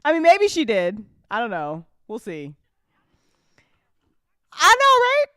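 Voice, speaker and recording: A woman's voice: fairly high pitch, wildly swooping pitch, slow, fairly bright tone, clear, resonant tone, no room sound, studio quality. A woman hosting a live after show in casual chat, informal and repeating phrases for emphasis. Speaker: host of an audio drama after show. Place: close indoor mic on a live stream.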